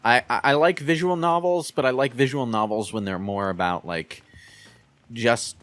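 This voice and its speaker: A man's voice: medium pitch, moderate pace, a bit bright. A man chatting casually as a video game commentator.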